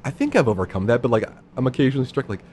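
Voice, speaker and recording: A man in his thirties with a low voice, a guest in a casual podcast chat, talking in a laid-back, self-deprecating, rambling way. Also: big pitch swings, racing, darkish tone, slight background noise. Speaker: improv guest.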